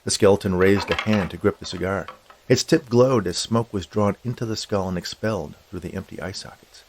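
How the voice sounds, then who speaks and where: A man's voice: low voice, a little fast, slight background noise, poor audio. A man reading a comic Halloween story aloud as a scripted narrator.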